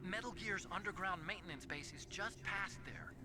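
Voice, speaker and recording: An earnest male support operative played by a man in a video game, with a notably high voice. He explains things informatively over loud noise in the game audio. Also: normal speed, natural voice.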